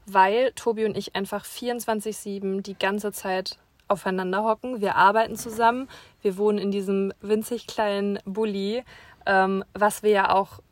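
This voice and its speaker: Eine junge Frau, die als Co-Gastgeberin locker mit ihrem Partner plaudert, zögernd und mit vielen Neuanfängen. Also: female voice, medium pitch, little pitch movement, normal speed, no room sound. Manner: hesitant, many restarts and fillers. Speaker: podcast co-host. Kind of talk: casual couple chat. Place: small camper van.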